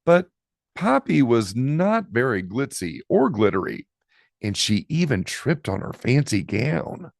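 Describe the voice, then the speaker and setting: Slow, animated male storybook reader. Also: medium pitch, gravelly, no room sound, clean studio sound. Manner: dramatic pauses, drawn-out key words. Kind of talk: expressive children's read-aloud narration.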